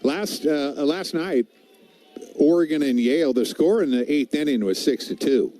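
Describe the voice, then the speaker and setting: A man's voice: a little high, normal speed, mellow. A man giving informal, opinionated color commentary on a baseball game in a conversational way. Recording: faint background noise.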